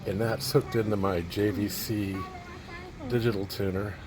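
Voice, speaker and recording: A man casually showing off an old radio phonograph he restored, talking in a low-key, hesitant way that often trails off mid-sentence. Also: steady tone, measured pace. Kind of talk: casual show-and-tell demo. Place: near the radio, away from the house. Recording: noisy amateur recording.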